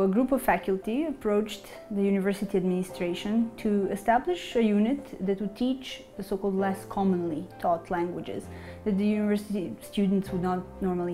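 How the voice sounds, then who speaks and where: A woman with a mellow, warm voice speaks in an office lined with bookshelves. She talks steadily and measured, pausing between listed items, as soft music plays underneath. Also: medium pitch. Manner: measured, listing items with pauses. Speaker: faculty member. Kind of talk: interview to camera.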